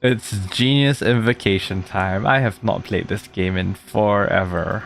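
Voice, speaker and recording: Slow-paced man doing game commentary. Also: on the low side, fairly even intonation, creaky. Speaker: video game streamer. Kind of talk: casual playthrough commentary. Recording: game music behind the voice.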